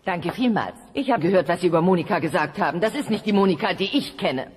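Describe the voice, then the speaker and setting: A woman's voice: on the low side, animated, fast, in a large hall, a little hiss or hum, close to an everyday voice. A woman playing an earnest, protective dinosaur mother in a comic radio play, speaking with conviction. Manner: earnest, decisive.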